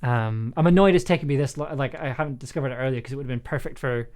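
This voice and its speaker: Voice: medium pitch, a little mellow. Stammering, laughing livestream host in casual chat. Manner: hesitant, stammering over repeated words, quick to laugh. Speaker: audio drama writer and composer, host of livestream.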